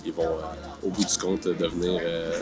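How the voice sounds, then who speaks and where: A man, giving halting interview answers outdoors. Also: deep voice, little pitch movement, a crowd in the background. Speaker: actor being interviewed. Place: outdoor park film set. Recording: music clearly under the voice.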